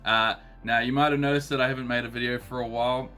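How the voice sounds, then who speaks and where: A man in his thirties with a New Zealand accent, still a bit husky from illness, chatting casually as he unboxes trading cards with lots of ums and uhs. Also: medium pitch, fairly even intonation, fast talker, pushed and bright, faint music behind. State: husky, recovering voice. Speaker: card collecting channel host.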